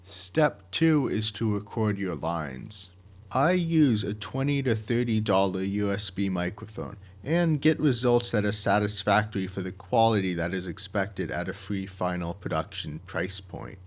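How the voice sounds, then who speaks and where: A man with a fairly low voice delivers scripted instructional narration. He goes through each step methodically, with measured, plain wording, as someone directing a project would. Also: expressive.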